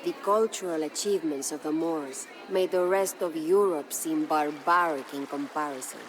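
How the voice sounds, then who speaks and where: Actress as medieval spanish knight's wife telling his legend, lowish voice, solemn n measured, game cutscene with music behind. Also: lightly acted, poor audio. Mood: reverent, measured. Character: noble medieval Spanish wife, sorrowful, devoted, recounting her husband's legend. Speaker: game campaign narrator. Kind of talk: scripted dramatic storytelling narration. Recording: studio narration over prominent music.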